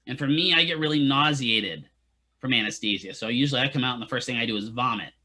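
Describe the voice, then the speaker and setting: A man's voice: mid-range voice, quick pace, pushed and bright. A man teaching physical therapy students in a casual lecture, often checking in with "right?" and making joking asides. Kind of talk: classroom lecture.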